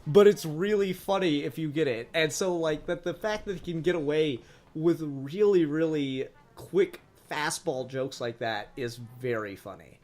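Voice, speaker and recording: A man's voice: on the high side, a little slow, darkish tone. A man co-hosting a podcast, chatting casually and enthusiastically as a fan reviewer, with plenty of swearing.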